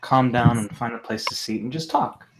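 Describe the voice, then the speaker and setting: A man's voice: medium pitch, moderate pace. A man playing a tabletop game, talking casually and hesitantly with many fillers and restarts. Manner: hesitant, full of likes and restarts. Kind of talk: casual roleplay chat. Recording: noisy mic with clicks.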